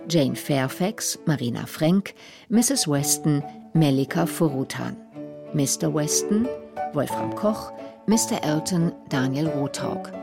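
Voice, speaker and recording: A woman reading the closing credits in a slow, formal manner. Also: low-pitched, creaky. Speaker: credits announcer.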